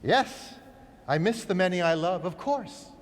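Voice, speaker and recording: A man giving a solemn, measured, declamatory recitation of a literary verse play. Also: sits high, expressive. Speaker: reader. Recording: long hall reverb, echoey large space.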